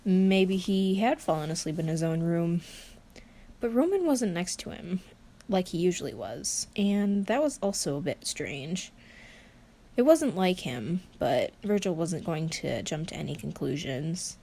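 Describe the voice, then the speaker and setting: Woman slowly telling a story, halting and conversational. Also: lowish voice, sing-song, warm tone. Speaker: narrator of a fan fiction podfic. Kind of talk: informal narrated storytelling.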